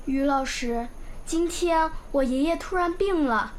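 Dutiful, high-pitched village schoolgirl. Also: a little slow, a little hiss or hum. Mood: sad, contrite. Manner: polite, earnest. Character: dutiful, apologetic young village schoolchild, a girl. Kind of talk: radio drama acting.

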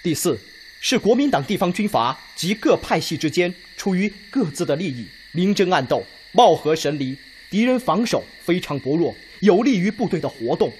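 Insistent red army officer, radio play, emphatic point by point, pitch goes up and down a lot. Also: male voice, big pitch swings, normal speed, a little mellow, slight background noise. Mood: insistent, methodical. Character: insistent, methodical Red Army officer arguing his case. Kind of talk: Chinese radio drama acting.

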